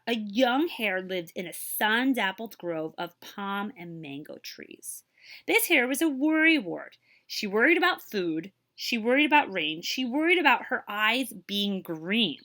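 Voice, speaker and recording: A woman reading a children's story aloud as a librarian, with expressive, dramatic emphasis and questions to her young listeners. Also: medium pitch.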